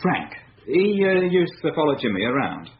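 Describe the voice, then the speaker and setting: An actor playing an evasive, shifty bar owner with a record in a radio drama, guarded, hesitant and offhand. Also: male voice, on the high side, sing-song, moderate pace. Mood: offhand, cagey. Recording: dull radio recording with background noise.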